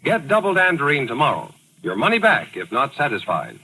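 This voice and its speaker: A man's voice: mid-range voice, wildly swooping pitch, fairly quick, vocal fry, over loud music, rough recording. A man reading a 1940s radio advertisement as an emphatic commercial announcer. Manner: emphatic, selling. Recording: old radio broadcast recording.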